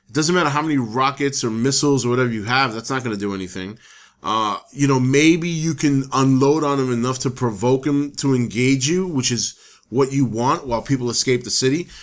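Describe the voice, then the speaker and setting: A hoarse man talks casually to his viewers as host, his voice sitting at an ordinary middle pitch. He thinks out loud and circles back on his points. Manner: rambling, thinking aloud with restarts. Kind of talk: casual solo talk to camera.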